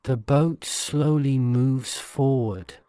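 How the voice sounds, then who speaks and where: A man reads a bedtime guided-imagery story at a very slow, measured pace. His voice is soothing and mellow, with a smooth, clean tone. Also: mid-range voice, no echo. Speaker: narrator.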